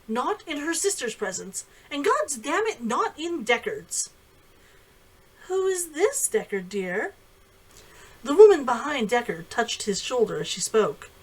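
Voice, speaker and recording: A woman reading her own novel aloud in expressive audiobook-style narration. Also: a little high, rough recording.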